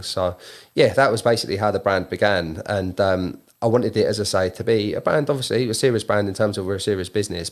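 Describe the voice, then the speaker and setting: A man's voice: sits low, creaky. A man with a southern English accent chatting casually as an interview guest, explaining things in a self-deprecating way. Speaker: guest, clothing brand founder.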